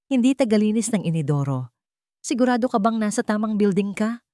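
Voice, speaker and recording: A woman's voice: mid-range voice, brisk, dark tone, no echo, voice pushed up, natural voice. A haughty middle-aged male HR director, acted out by a woman storyteller with a Filipino accent. He talks down to people with mocking disdain and cold cruelty. The sound is dry and close, like an audio story.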